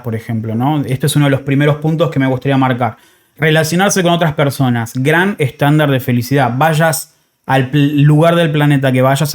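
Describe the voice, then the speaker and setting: A man in his thirties with an Argentine accent, hosting a podcast in a casual, reflective way and often rephrasing as he goes. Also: on the high side. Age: 30s. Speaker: podcast host. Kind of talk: casual conversational podcast talk.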